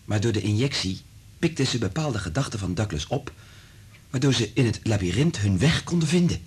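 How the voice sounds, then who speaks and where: An actor playing an anxious, principled research scientist in a Dutch radio drama, earnest and emphatic. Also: male voice, on the low side, lively intonation, brisk, darkish tone, husky. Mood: explaining, uneasy.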